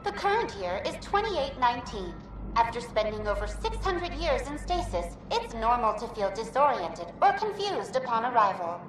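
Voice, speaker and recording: A woman voicing a cheerful, helpful virtual intelligence in a game, giving polished, formal, scripted welcome announcements. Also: on the high side. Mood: polite, automated. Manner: polished, upbeat, formal customer-service delivery. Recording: echoey distant sound with music behind.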